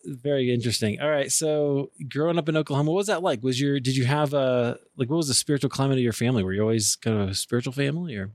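A man from Iowa hosting a podcast interview in a friendly, relaxed way with plenty of ums. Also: medium pitch, fast talker, hardly any pauses, dry recording, studio quality. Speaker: podcast host.

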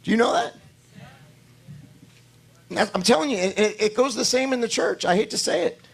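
A male pastor delivers a sermon with a hoarse voice that sits fairly high for a man. He preaches in church, pressing his points with rising, emphatic declarations. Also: normal speed.

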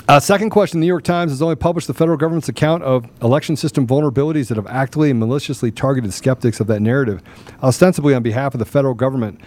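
A man's voice: mid-range voice, fast talker, a little mellow, no room sound. A man hosting a live political talk show in a casual style, with a habit of repeating phrases for emphasis. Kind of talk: casual live talk, sometimes reading questions aloud.

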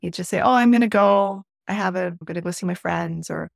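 A woman's voice: fairly low pitch, brisk, vocal fry, no room sound, clean studio sound. A middle-aged woman from Peru, a podcast guest, telling a thoughtful personal story with frequent restarts. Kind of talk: reflective conversational storytelling.

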